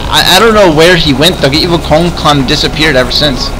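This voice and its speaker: A man improvising in-character chat in a video game roleplay, halting and often repeating words. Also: a little high, over music, poor audio. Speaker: host and player of an online roleplay series.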